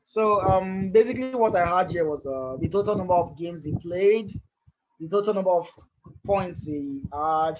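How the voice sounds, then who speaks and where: A man presenting a live software tutorial over a video call, talking in a loose, conversational way that often repeats and restarts phrases. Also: sits high, moderate pace, lo-fi sound.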